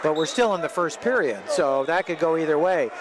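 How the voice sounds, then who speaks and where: A man's voice: on the high side, lively intonation, a little fast. A man working as a play-by-play announcer, giving rambling, explanatory live wrestling commentary for radio. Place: large indoor sports arena. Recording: loud crowd and gym noise behind. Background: crowd, bouncing and thuds of a tournament.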